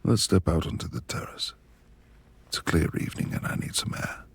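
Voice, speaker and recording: A man playing a reclusive, brooding lord of a manor in an intimate ASMR romance roleplay, speaking formally and haltingly in a gravelly, husky, hushed voice. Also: close to an everyday voice. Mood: gentle, courteous. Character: reclusive brooding male manor lord, tender beneath gruff exterior.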